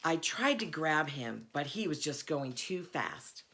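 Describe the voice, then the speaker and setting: A woman reading a children's novel aloud in an expressive storytelling manner. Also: low voice, unhurried. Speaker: reader, school board member.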